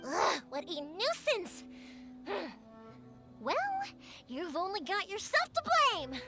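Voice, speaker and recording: Give a very high, swooping voice to a bubbly young game heroine. She is kind-hearted and stubborn, and she speaks with emphatic, animated expression. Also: takes it slowly, loud music behind the voice, heavily acted. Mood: annoyed, resolute. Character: spirited, kind young fireworks maker, cheerful and stubborn meddler. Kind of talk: animated video game voice acting.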